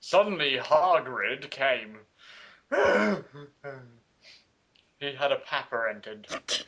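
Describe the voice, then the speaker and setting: Animated wisecracking man with a bright voice. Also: medium pitch, extremely slow. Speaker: player in a dramatic reading game. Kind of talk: comic reading and banter among friends.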